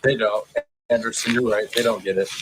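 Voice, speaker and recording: Male livestream host, low-pitched, informal and slangy, bantering casually with chat. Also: steady tone, brisk, noisy background. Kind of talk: casual live chat commentary.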